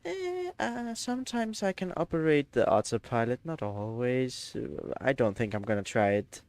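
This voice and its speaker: A man's voice: medium pitch, big pitch swings, normal speed, darkish tone, smooth, no room sound. A man from Denmark, chatting hesitantly with many fillers while streaming a flight simulator. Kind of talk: live stream gameplay chat.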